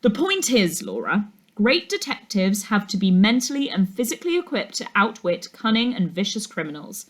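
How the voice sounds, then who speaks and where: A woman's voice: mid-range voice, very animated, moderate pace. A woman reader acts out a stern male schoolteacher from a children's storybook. He is exasperated and pompous, lecturing with emphatic, dismissive weight.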